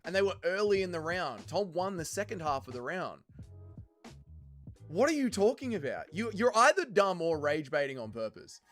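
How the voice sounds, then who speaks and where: A man's voice: sits high, sing-song, moderate pace, a bit bright, soft background music. A young man, hosting a casual livestream and bluntly arguing with chatters he reads out. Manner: heated, blunt, calls chatters trolls. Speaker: livestream host reading chat.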